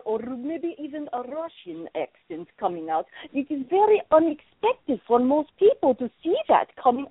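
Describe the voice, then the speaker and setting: A woman's voice: a little high, no room sound. A woman improv actor and coach, a guest on a phone interview, talking animatedly and restarting her words. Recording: dry, narrow telephone line.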